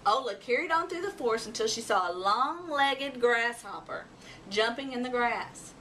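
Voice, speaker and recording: Lady doing an animated storytime read, highish voice, noisy distant mic. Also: normal speed. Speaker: storybook reader. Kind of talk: expressive children's story read-aloud.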